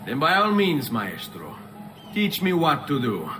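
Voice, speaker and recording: An actor playing a confident, courteous young Renaissance assassin with an Italian accent and a measured, wry delivery. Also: male voice, mid-range voice, huge pitch swings, in a large hall, music in the background, rough recording. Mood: wry confidence. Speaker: video game protagonist. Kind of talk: dramatic video game acting.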